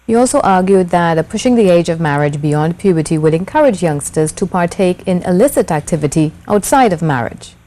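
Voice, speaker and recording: A woman's voice: lowish voice, animated, fairly quick, few pauses, warm tone, poor audio. A woman with a Trinidadian accent presenting scripted news as an anchor.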